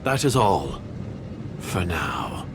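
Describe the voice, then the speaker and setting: A shadowy schemer, talks in a lowish voice that moves sharply up and down. He is cold, guarded and disdainful, choosing formal words, in a video game scene with music underneath. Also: very animated, drawn-out words, creaky, heavily acted. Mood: cool, disdainful. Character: wary, shadowy male conspirator, cold and calculating. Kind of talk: English video game voice acting.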